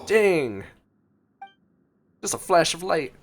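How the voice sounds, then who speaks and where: A man's voice: fairly high pitch, very animated, takes it slowly, slight background noise. A man giving casual, reactive commentary as a gaming streamer while he plays. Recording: game music behind commentary.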